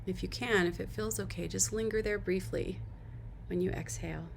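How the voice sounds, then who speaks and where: A woman leading a guided body-scan meditation as an instructor, giving gentle, unhurried instructions and soft invitations. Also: mid-range voice, gravelly, a little hiss or hum.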